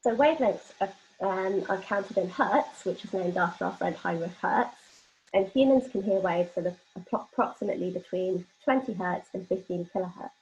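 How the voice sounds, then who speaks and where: A woman's voice: medium pitch, normal speed, darkish tone. A woman presenting an explanatory workshop lecture, talking informally and illustrating points with everyday examples. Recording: dull, lo-fi band-limited audio.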